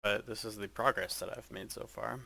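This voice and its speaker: A man giving a casual, hesitant walkthrough of his game base, speaking in a flat monotone with frequent ums. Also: lowish voice, normal speed, creaky. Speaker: gaming video creator.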